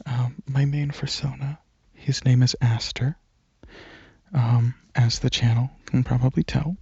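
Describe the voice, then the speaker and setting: Slow, soft-spoken young man, relaxed ASMR ramble. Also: mid-range voice, vocal fry, husky, no echo, muffled recording. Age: young adult. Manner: soft-spoken, hesitant, drifting into near-whispers. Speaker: ASMR channel creator.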